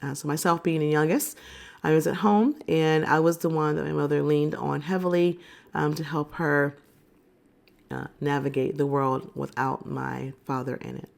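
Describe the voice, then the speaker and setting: A woman of about fifty, recovering from a cold, talking to camera in a reflective, unhurried and candid way. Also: sits low, fairly even intonation, creaky, darkish tone. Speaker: vlogger. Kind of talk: personal reflective talk to camera.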